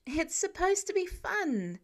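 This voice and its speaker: A woman voicing an eager, earnest teenage boy with a playful streak, excitable and insistent, in an audiobook story. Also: extremely high pitch, slow pace, pitched above the performer's own voice, full character voice.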